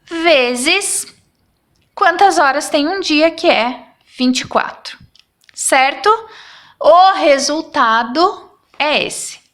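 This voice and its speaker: A woman's voice: high voice, very slow, a bit bright. A woman, explaining math problems step by step as a teacher and checking in with her students after each step. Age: 30s.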